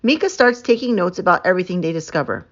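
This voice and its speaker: A woman narrating a children's book aloud in an expressive, emphatic storytelling manner. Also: lowish voice, fast, lo-fi sound. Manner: animated, emphatic storytelling with stretched key words.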